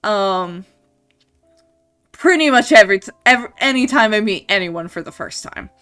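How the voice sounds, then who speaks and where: A woman's voice: medium pitch, lively intonation, moderate pace, sharp tone. A young woman with a mixed, mostly Canadian-sounding accent, chatting casually on a stream with lots of ums, restarts and laughs. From Scotland. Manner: rambling, many ums and restarts, laughs often. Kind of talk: casual chat while drawing on stream. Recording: faint music behind.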